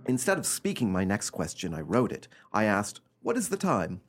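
A man playing an eccentric gentleman who recounts an uncanny family tale in a theatrical, emphatic radio drama style. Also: fairly low pitch, wildly swooping pitch, normal speed, slightly rough, exaggerated voice. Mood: intense, secretive.

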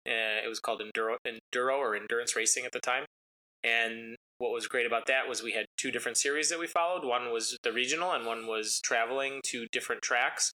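Male guest, Italian first language, bright tone, loose rambling delivery with fillers. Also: medium pitch, brisk, rough recording. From United States. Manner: rambling, relaxed, frequent ums and uhs. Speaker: interview guest, racing driver. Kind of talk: casual storytelling interview.

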